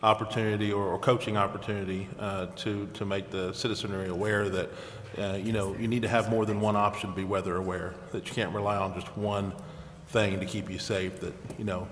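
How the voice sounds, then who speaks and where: A man's voice: fairly low pitch, little pitch movement, fairly quick, few pauses. A man chairing a city council meeting, moving through formal procedure with some informal remarks. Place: large indoor council chamber. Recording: echoey council chamber with noise.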